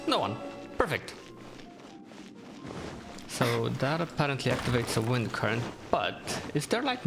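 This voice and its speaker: A man's voice: mid-range voice, creaky. A man giving casual, laid-back gameplay commentary as a streamer, muttering to himself and to game characters. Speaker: gaming streamer. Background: video game music.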